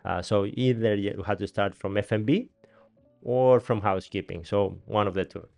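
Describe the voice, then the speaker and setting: A man in his thirties with a Greek accent hosts a relaxed podcast chat in a small studio, talking into a boom mic. His voice sits lowish and comes across smooth and resonant. He is chatty and laughs easily, with faint music underneath. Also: moderate pace. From Greece. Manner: chatty, laughs often, non-native grammar. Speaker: podcast host. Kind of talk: casual interview chat. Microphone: studio mic on boom arm, headphones.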